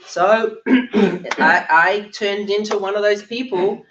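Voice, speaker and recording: An older woman from Australia giving a chatty conference workshop talk, her voice croaky and low from a cold, often clearing her throat. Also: slow pace, background noise. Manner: chatty, self-deprecating, frequent ums and throat clearing. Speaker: workshop speaker. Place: echoey conference room. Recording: roomy, distant, dull recording.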